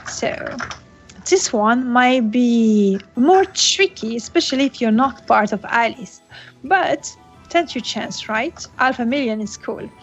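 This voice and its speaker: A woman hosting a casual gaming webcast over a call, speaking slowly and laughing often. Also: on the high side, animated. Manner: slow, laughs often, non-native English phrasing. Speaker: podcast host. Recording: dull lo-fi audio with faint music.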